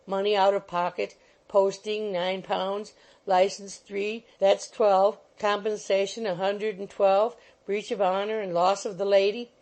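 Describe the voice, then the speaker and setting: A woman's voice: mid-range voice, little pitch movement, unhurried, a little mellow, lightly acted. A woman voicing a cool, scheming young man who talks in clipped, terse phrases. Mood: curt.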